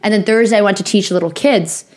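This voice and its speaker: Young woman co-host, raspy and sniffly from a lingering cold, chatty, rambling delivery full of "like.". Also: mid-range voice, fast. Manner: rambling storytelling with many fillers. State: hoarse, recovering from lost voice; sniffly from sinus infection. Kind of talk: casual chat.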